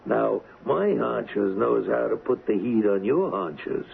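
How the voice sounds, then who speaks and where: A man playing a cocky, wisecracking gangster in an old-time radio crime drama, slangy and taunting, with rough grammar. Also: on the low side, animated, takes it slowly, narrow, tinny audio, heavily acted. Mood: sardonic, defiant. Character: cocky, wisecracking street-tough gangster hired as an agent. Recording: thin, band-limited, hissy old radio recording.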